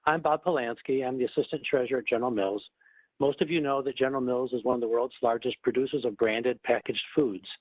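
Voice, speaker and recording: A man giving a prepared, formal webinar presentation in a measured, explanatory manner, as a corporate executive. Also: mid-range voice, steady tone. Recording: muffled phone line, roomy echo.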